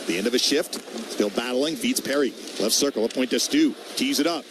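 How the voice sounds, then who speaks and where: Rapid-fire male hockey radio play-by-play announcer. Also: mid-range voice, background noise. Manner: clipped rapid-fire phrases, calling each move as it happens.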